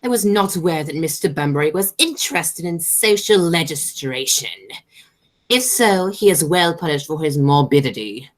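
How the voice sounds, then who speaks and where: Woman playing a snobbish aristocratic matriarch, low pitch, sweeping intonation, haughty and declamatory, radio play. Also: lively intonation, moderate pace. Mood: astonished. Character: imperious, snobbish aristocratic mother and aunt. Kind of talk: amateur radio play acting.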